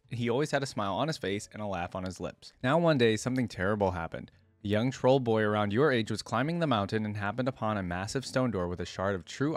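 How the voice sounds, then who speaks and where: A man's voice: on the low side, dry recording. A man hosting a reaction video, moving between reading lore aloud and informal commentary as he thinks aloud.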